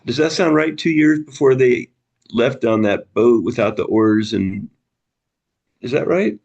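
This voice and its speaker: Man, mid pitch, gravelly, easygoing discussion leader on a video call. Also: expressive, measured pace, darkish tone, lo-fi sound. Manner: friendly, chuckling, thinking aloud with restarts. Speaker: study group facilitator. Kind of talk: casual group discussion.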